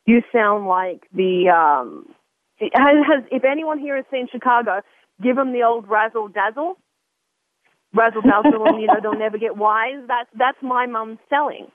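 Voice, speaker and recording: A woman's voice: on the high side, moderate pace, dry recording. Chatty woman radio host on phone-thin audio. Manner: chatty, self-interrupting, repeats words. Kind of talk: casual radio talk. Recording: narrow phone-like line.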